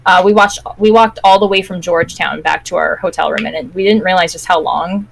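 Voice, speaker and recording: A woman's voice: fairly low pitch, steady tone, fairly quick, gravelly, a little hiss or hum, poor audio. Woman chatting casually on a podcast. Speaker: podcast co-host. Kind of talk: casual live-stream chat with anecdotes.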